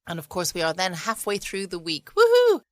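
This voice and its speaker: A woman's voice: mid-range voice, wildly swooping pitch, fairly quick, dry recording. A woman hosting a casual bedtime podcast from home. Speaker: podcast host. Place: at home, indoors.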